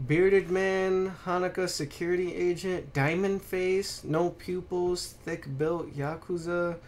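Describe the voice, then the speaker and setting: A man hosting a casual podcast, speaking bluntly with plenty of swearing and getting animated when exasperated. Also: high voice, slow. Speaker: podcast host. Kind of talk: casual opinionated podcast chat.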